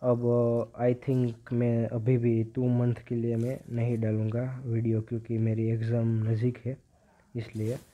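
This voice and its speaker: Teenage male, casual gaming commentary, monotone delivery, narrow lo-fi phone sound. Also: medium pitch, unhurried. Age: teenager, about 15. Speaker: gaming video creator. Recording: dull, lo-fi phone-like audio.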